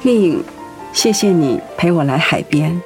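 An actress playing a devoted, anxious wife in a radio drama, speaking tenderly and earnestly with feeling. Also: female voice, low-pitched, expressive, unhurried, faint music behind. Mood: moved, grateful. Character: devoted, anxious wife caring for her sick husband.

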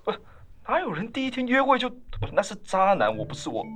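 A man's voice: high voice, huge pitch swings, a little fast, fairly bright tone, faint music behind, heavily acted. A man acting in a radio drama as a flustered, eager suitor who stammers, hesitates and over-explains himself. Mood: flustered, defensive. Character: flustered, eager man courting a woman before a first date.

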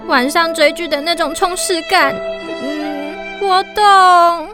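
A woman's voice: very high-pitched, steady tone, slow pace, music in the background. A woman voicing a cute, perky, teasing little girl in a children's radio drama.

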